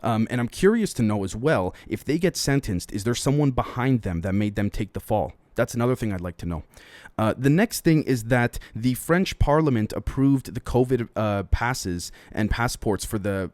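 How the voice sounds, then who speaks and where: A man's voice: medium pitch, expressive, moderate pace, darkish tone, dry recording. Man giving opinionated news commentary, dry sound. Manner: opinionated, speculative, conversational; frequently addresses listeners directly. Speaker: podcast host. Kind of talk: solo news commentary podcast.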